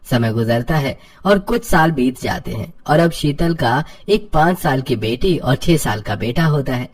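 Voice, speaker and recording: A man's voice: medium pitch, lively intonation, measured pace, husky. A man narrating a scripted cartoon story as the storyteller.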